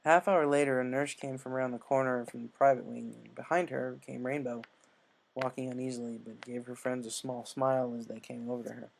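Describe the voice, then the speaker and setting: Man reading a story, mid-range pitch. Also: level tone, fairly quick, gravelly, poor audio. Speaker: story reader. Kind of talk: scripted narration of fan fiction.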